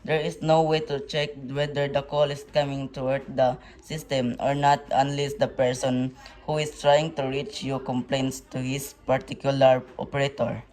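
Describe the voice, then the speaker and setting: A woman's voice: a bass voice, little pitch movement, moderate pace, a little mellow, rough recording. A woman playing a polite, formal, unruffled telephone operator in an amateur radio play.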